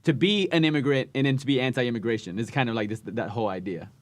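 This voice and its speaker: A man hosting a podcast, talking in a halting way with many restarts as he searches for words. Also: mid-range voice, no room sound. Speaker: podcast host. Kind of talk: casual podcast interview.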